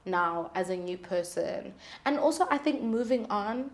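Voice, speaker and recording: A woman's voice: mid-range voice, lively intonation, unhurried. A woman talking candidly and earnestly as a guest in a conversational interview. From South Africa. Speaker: singer-songwriter, interview guest.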